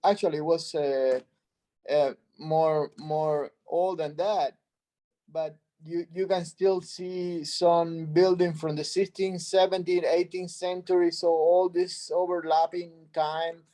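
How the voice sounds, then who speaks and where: A middle-aged man from Cuba speaks English with a Spanish accent, mellow and warm in tone, on a fairly high pitch. He reflects slowly on his work, restarting phrases as he searches for words. His voice comes over a video call. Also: flat delivery. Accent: Cuban Spanish. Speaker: artist guest. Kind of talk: reflective interview answers.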